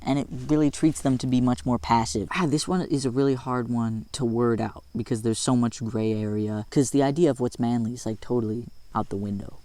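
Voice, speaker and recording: A man's voice: medium pitch, darkish tone, no room sound. A young adult man giving casual advice in a vlog, upbeat and chatty, full of "like" and laughing at himself. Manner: upbeat, chatty, self-deprecating with filler likes. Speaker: vlogger.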